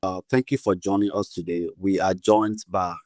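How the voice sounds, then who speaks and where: A man hosting a podcast, introducing his guest in a formal, measured way with some fillers. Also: deep voice, flat delivery, a little mellow, dry recording, clean studio sound. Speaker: podcast host.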